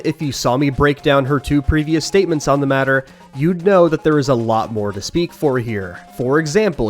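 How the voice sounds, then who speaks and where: A man delivering scripted commentary as a guest, in an accusatory, emphatic manner. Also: medium pitch.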